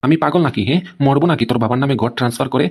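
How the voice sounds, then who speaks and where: A man's voice: mid-range voice, rapid-fire, husky. A man voicing an indignant, stubborn husband in an amateur comedy cartoon, scolding and tacking questions onto his sentences. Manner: scolding, repeats tag questions. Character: indignant, stubborn husband guarding his hard-earned house.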